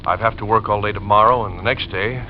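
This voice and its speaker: A man playing a gentle, wistful, polite widower obsessed with recreating his old home, in 1940s radio drama style. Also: lowish voice, fast, lightly acted. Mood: anxious, insistent. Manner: polite, earnest, nostalgic. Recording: dull, noisy old radio recording.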